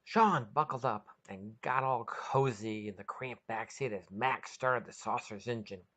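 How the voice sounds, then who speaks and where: A woman's voice: rumbling low, a little slow, fairly bright tone. A woman hosting a podcast and narrating a scripted short story.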